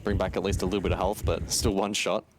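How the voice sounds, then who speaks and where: A man's voice: sits low, level tone, fast. A man giving live tactical commentary on an esports match in a casual, analytical, wry way. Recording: gunfire and game noise behind. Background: video game gunfire and clicks.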